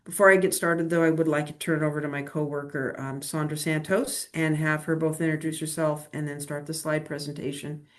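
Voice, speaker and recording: A woman administrator explaining agency events to an advisory council at a meeting, in a measured way with many fillers, speaking into a laptop in a meeting room. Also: low-pitched, little pitch movement. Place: meeting room, same room as a colleague. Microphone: laptop microphone.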